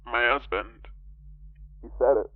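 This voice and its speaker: Through a thin, tinny phone line comes a worried, courteous caller. He is earnest and hesitant, repeating himself as he tries to help, in a mid-pitched voice from a horror radio play. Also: slow pace, dry recording. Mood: urgent. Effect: telephone filter. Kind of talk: horror audio drama acting.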